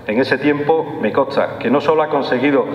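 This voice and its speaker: A man's voice: mid-range voice, fairly quick, muffled recording. Older man, echoing hall speech. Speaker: police official giving a speech. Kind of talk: formal speech read from a script. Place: large stone hall, indoors. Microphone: lectern microphone. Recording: roomy, echoing hall with long reverb.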